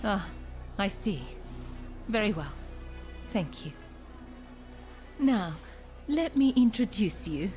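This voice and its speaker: Slow-spoken, coy, elegant courtesan. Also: female voice, medium pitch, very animated, darkish tone, soft background music. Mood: composed. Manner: refined, formal, coquettish phrasing. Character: elegant, coy, teasing courtesan woman with powerful connections. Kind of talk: video game cutscene acting.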